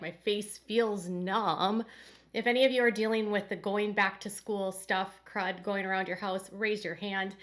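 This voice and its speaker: A woman's voice: mid-range voice, a bit bright. A woman hosting a casual commentary channel who talks straight to her viewers in a rambling way, her nose congested from a cold.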